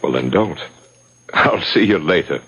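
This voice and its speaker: A man playing a smooth, assured leader of a secretive club in a 1970s radio drama. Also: sits low, animated, unhurried, highs cut off, very noisy. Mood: smooth, reassuring. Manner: reassuring, polished, formal when addressing superiors.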